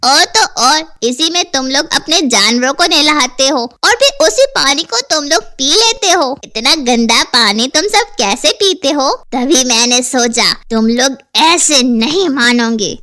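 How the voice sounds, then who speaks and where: A woman's voice: high voice, expressive, a little slow, few pauses, full character voice. A woman voicing an angry, powerful river witch in a cartoon horror story, boastful and scolding. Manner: scolding, forceful. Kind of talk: animated Hindi horror story dialogue.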